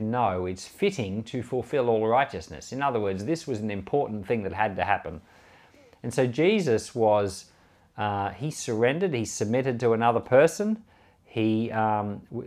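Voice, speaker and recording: A man's voice: medium pitch, a little mellow. A man speaking as a pastor, giving informal Bible commentary in an earnest, conversational, explanatory way. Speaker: pastor and Bible podcast host.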